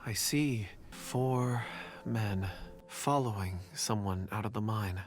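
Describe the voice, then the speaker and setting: A man's voice: fairly low pitch, slow. An actor playing a mild, reserved young man in a video game, polite and hesitant. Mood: focused.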